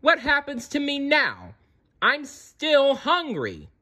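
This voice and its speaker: A narrator voicing a boastful, defiant young female horned dinosaur in a storybook, loud and exclaiming. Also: mid-range voice, huge pitch swings, slow, pitched above the performer's own voice, full character voice. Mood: angry.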